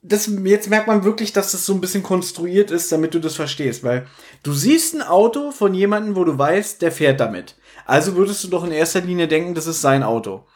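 A man with a slightly high voice talks casually as a podcast host. He retells and picks things apart in a teasing, bantering manner. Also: animated, brisk, a little mellow. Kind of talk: casual podcast chat, retelling and critiquing an audio drama.

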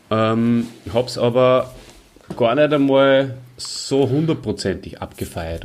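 A man's voice: medium pitch, a little mellow. A middle-aged Austrian man co-hosting a podcast, reminiscing in casual, conversational speech. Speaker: podcast co-host.

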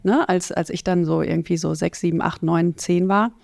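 A middle-aged woman from North Rhine-Westphalia, speaking casually as an interview guest, with many filled pauses. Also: on the low side, fairly even intonation, gravelly, darkish tone, no echo, studio quality. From North Rhine-Westphalia, Germany. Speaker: podcast guest, finance coach.

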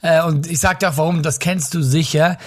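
A man co-hosting a casual podcast chat, hoarse and raspy, with hesitant fillers and frequent laughs. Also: a little high, steady tone, a little fast. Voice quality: raspy, gravelly. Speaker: podcast co-host.

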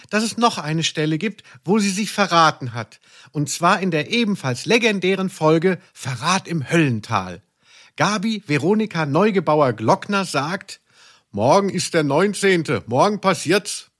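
Man, fairly high voice, podcast co-host, casual chat, teasing and mock-serious. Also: a bit bright.

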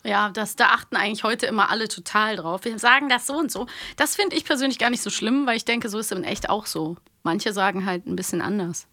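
A woman's voice: mid-range voice, fast talker, hardly any pauses, bright, forceful tone, dry recording, clean studio sound. A woman co-hosting a podcast in casual, playful chat, with a teasing and chatty way of talking. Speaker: podcast co-host.

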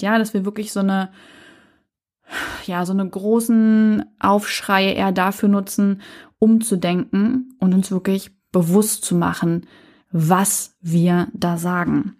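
Woman, podcast host, solo monologue, earnest, thoughtful, hesitant, mid-pitched voice. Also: a little mellow. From Saxony-Anhalt, Germany.

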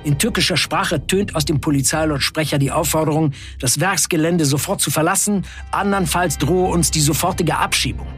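A man playing a defiant 1970s factory worker on strike, recounting events in a deliberate, declamatory radio play narration. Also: on the high side, fairly bright tone, faint music behind, natural voice. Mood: tense, indignant. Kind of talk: dramatic first-person radio play narration.

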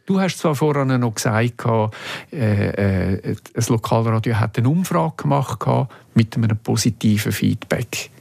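Creaky-voiced man asking questions. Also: mid-range voice, expressive, measured pace, a little mellow, no room sound. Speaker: interviewer, host. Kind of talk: conversational interview, asking reflective questions.

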